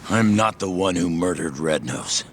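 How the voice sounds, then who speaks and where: An actor playing a bitter, defiant yakuza gangster with a gravelly voice in a dramatic game dub. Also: male voice, sits low, animated, moderate pace, a little hiss or hum. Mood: subdued, resigned. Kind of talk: English video game dub, dramatic acting.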